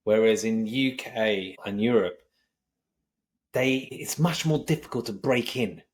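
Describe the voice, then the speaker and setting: A man's voice: on the low side, a little slow. A British man hosting a podcast interview, talking conversationally and rephrasing himself mid-sentence. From Britain. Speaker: podcast host.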